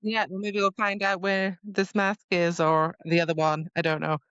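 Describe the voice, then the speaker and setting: A woman's voice: on the low side, flat delivery, normal speed, fairly bright tone, clear, resonant tone, no echo, highs cut off. A woman co-hosting a book podcast, chatting casually in loose, informal phrasing. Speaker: podcast co-host. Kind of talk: casual book discussion chat.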